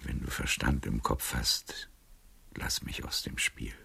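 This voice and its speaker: An actor playing a frail, weary old nobleman in a poetic radio drama, tender and melancholy, with a breathy, gravelly voice and a slow, hushed, solemn delivery. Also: very deep voice, fairly even intonation, dry recording, in a whisper, exaggerated voice. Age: older.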